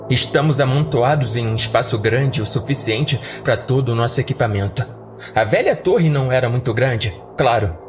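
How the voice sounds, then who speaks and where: Casting: male Brazilian narrator, mid-pitched, scripted horror podcast storytelling over a clear music bed.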